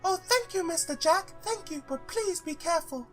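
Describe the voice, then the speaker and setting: A man voicing a worried, grateful woman client in falsetto for a visual novel. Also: animated, normal speed, sharp tone, soft background music, heavily acted. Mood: anxious, grateful.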